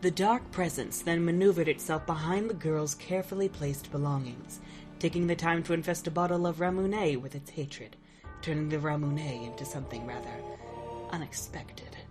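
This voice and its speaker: A woman narrates in a low, calm voice. Her delivery stays steady and measured. Faint music plays beneath. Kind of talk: scripted narration.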